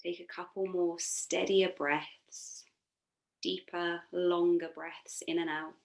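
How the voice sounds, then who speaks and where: Woman guiding relaxation in an even, low-set voice. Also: slow pace, vocal fry, low-quality recording. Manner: soothing, gently encouraging, unforced. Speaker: yoga nidra instructor. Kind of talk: guided relaxation meditation.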